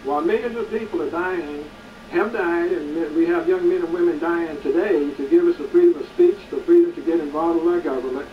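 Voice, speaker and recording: A man's voice: fairly high pitch, lively intonation, a little fast. A man, a community activist hosting a local show, gives an indignant, preachy commentary with many hesitations. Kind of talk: editorial commentary to camera. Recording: distant, dull, slightly noisy recording.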